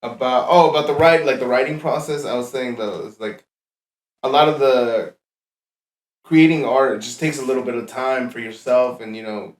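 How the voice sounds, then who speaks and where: A man's voice: mid-range voice, moderate pace. Earnest young male podcast host. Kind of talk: casual chat. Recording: echoey room.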